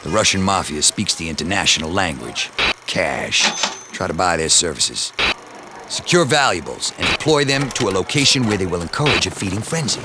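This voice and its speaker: A man's voice: deep voice, expressive, normal speed, over loud music. An actor playing a dry, sardonic male intelligence briefer in a game cutscene.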